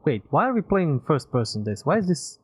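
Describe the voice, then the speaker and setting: A man with an Eastern European accent giving casual, animated and rambling live commentary as he streams a game. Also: fairly high pitch, fairly quick, slightly rough.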